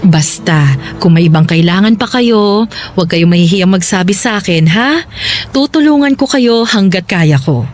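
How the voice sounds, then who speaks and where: A woman's voice: mid-range voice, lively intonation, normal speed, darkish tone, faint music behind, lightly acted. An actress playing a kindly middle-aged landlady aunt in a Filipino radio drama, with a reassuring, motherly manner. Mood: reassuring, earnest. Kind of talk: Tagalog radio drama acting.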